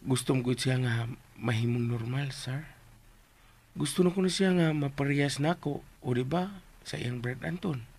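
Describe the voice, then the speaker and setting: An actor playing a cheeky, simple-minded, meddling household helper with a Cebuano accent and a raspy voice in a comic radio drama. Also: medium pitch, normal speed, a little mellow. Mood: insistent, pleading.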